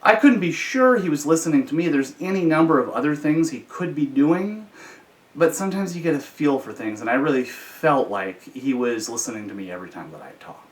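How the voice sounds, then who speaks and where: An American man telling personal stories in an informal, measured, explanatory way. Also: fairly high pitch, expressive. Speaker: storyteller, host. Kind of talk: informal explanatory storytelling about personal experience. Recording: slightly distant from the microphone.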